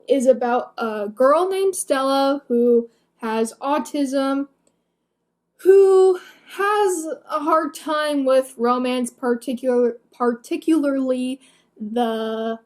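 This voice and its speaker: A woman's voice: high voice, a little mellow. An eighteen-year-old woman giving a casual, rambling book review to camera in a candid, self-deprecating, hesitant way.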